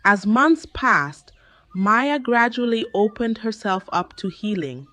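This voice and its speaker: A woman narrating a scripted folktale in a measured, dramatic storytelling manner. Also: on the high side, lively intonation, fairly bright tone.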